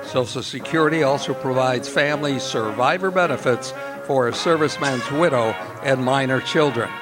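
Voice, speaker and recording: A man's voice: mid-range voice, moderate pace. A man announcing in a scripted, old-time radio style. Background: music.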